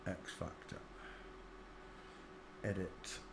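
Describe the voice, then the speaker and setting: A man's voice: very slow, heavy background noise. A man hosting a livestream, rambling to himself as he comments on photos. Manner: mutters to himself while working, trails off into asides. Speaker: livestream host.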